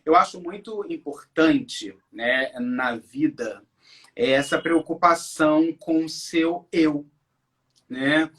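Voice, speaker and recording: A man in his forties with a Brazilian accent talks as a guest in an interview. His delivery is conversational and emphatic, and he often turns to the listeners or checks in with short tag questions. His voice sits at an ordinary brightness. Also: a little high, takes it slowly. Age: 40s. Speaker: interview guest, English teacher. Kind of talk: conversational interview, personal storytelling.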